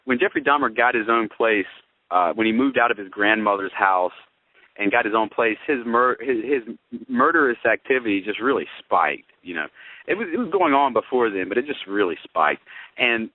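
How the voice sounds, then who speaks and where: A man's voice: fairly low pitch, expressive, moderate pace, dry recording. A man co-hosting a talk show, giving conversational astrological analysis in a wordy, expository way with rhetorical questions. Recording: narrow phone-like radio sound.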